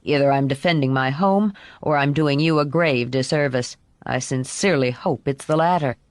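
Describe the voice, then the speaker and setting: Woman voicing a low, grave, formal father. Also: no room sound, studio quality. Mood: stern, disappointed. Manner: measured, formal, restrained. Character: stern, controlled man, grave protective father, formal. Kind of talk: audiobook character voice.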